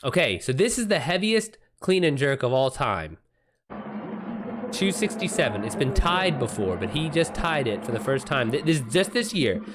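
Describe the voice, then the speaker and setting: A man's voice: medium pitch, big pitch swings, moderate pace. A man chatting casually on a livestream, giving loose, informal running commentary and swearing freely. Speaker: live streamer.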